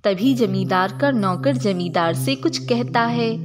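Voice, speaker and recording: A woman's voice: mid-range voice, unhurried, music in the background. A woman giving scripted storytelling narration as the narrator of a tale.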